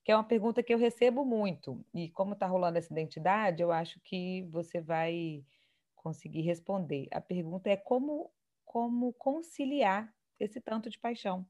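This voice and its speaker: A woman's voice: mid-range voice, normal speed, mellow. A woman with a Brazilian accent interviewing someone in a casual, conversational way.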